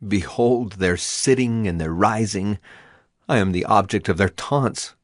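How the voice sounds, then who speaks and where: An actor playing a grieving, afflicted prophet in a dramatized scripture reading, with solemn, emphatic and deliberate phrasing. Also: male voice, on the low side, no room sound, studio quality, close to an everyday voice. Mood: pleading. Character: grieving, afflicted male prophet lamenting and praying.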